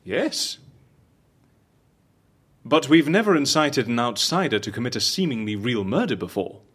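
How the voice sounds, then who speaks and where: An actor in an audio drama playing an inquisitive, excitable agent of a shady secret agency, with a husky voice. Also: male voice, mid-range voice, normal speed. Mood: scheming. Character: curious, probing male agent for secret death-faking agency.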